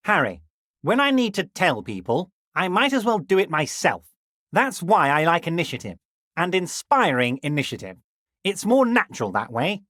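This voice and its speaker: An actor playing a scheming, jovial middle-aged union boss in a video game, folksy, flattering and sly. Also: male voice, sits high, no echo, clean studio sound, full character voice.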